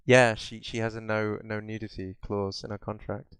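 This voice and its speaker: A man's voice: fairly low pitch, level tone, moderate pace, smooth, no echo. A man co-hosting a podcast, chatting casually about films in a rambling way. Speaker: podcast co-host. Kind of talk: casual film chat.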